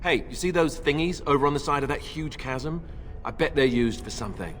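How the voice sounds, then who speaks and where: Wry young warrior, lively swooping intonation, flippant, hesitant, video game, hall reverb. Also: male voice, on the high side, a little fast. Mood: nervous, joking. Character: boyish, wry, unsure young male warrior. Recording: reverberant hall, faint background noise.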